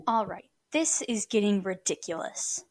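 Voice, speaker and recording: A woman's voice: medium pitch, lively intonation, measured pace, close to an everyday voice. A woman playing an irritated, blunt female mercenary in an audio drama. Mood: exasperated. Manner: blunt, exasperated.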